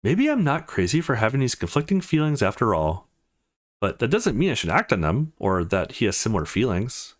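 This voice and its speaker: Visual novel protagonist, read by a man: brooding young male, mid-pitched, very varied intonation. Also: very animated, fairly quick, natural voice. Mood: flustered, aroused. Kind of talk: reading visual novel inner monologue.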